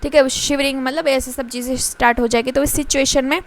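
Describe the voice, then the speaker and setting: High-voiced Indian woman lecturing haltingly. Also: fairly even intonation. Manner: halting, frequent filler pauses and restarts; slow, careful reading of English passages. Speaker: teacher. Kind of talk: mixed-language lecture reading from slides.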